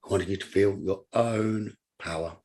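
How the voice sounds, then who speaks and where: Male meditation guide, somewhat deep and strongly raspy-breathy, calm instructional delivery in short spaced phrases. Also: normal speed. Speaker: meditation teacher.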